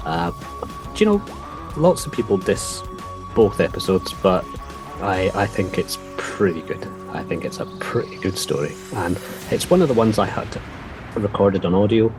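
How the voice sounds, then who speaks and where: Podcast co-host, man, croaky and very low-pitched, rambling chat over a clear music bed. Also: sing-song. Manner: chatty, rambling, quick asides. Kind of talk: casual chat.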